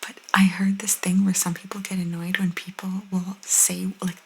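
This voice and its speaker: A young woman whispering softly and intimately as an ASMR host, reading and chatting casually close to the microphone. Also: fairly low pitch, level tone, normal speed, gravelly, slightly rough. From Italian cultural background. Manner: soft, intimate, chatty asides.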